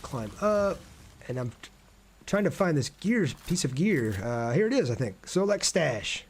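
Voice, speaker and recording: A man's voice: a little high, sing-song, measured pace, slight background noise. Chatty male livestream gamer. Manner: chatty, thinks aloud, addresses viewers. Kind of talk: casual livestream gameplay commentary.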